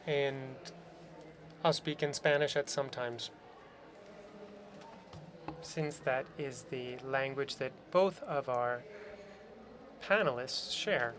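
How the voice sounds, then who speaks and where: A man interpreting a conference panel into English, speaking in measured short phrases. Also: medium pitch, background noise.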